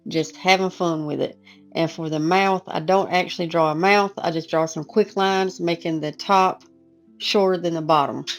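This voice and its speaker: A woman who is losing her voice, hoarse and chatty, talking through a casual painting lesson over soft guitar music. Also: lowish voice, fairly even intonation, moderate pace. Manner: casual, chatty, self-deprecating. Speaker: art teacher. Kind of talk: relaxed painting tutorial voice-over.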